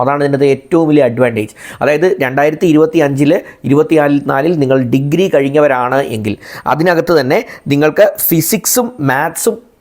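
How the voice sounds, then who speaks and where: A man's voice: fairly high pitch, a little mellow. A man from India with a Malayalam accent in his English, hosting an informational explainer for viewers and repeating and rephrasing key points. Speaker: video channel host.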